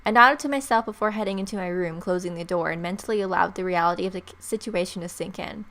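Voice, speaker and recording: A woman's voice: fairly low pitch, quick pace, a bit bright. A young adult woman hosting a let's play, reading visual novel text aloud expressively with casual, sarcastic reactions. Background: game music.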